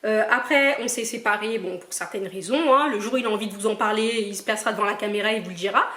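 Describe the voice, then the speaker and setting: A woman's voice: medium pitch, fast talker, pushed and bright. A young woman from Cameroon, chatting casually as she answers her viewers' questions in a playful, teasing way. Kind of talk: casual Q&A vlog. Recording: echoey room.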